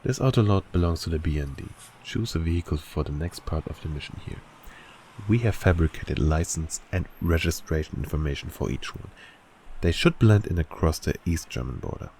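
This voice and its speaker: A man acting as a businesslike male intelligence handler who speaks in a crisp, official manner in an audio roleplay. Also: extremely low pitch, expressive, a little fast, a little mellow, slight background noise, heavily acted.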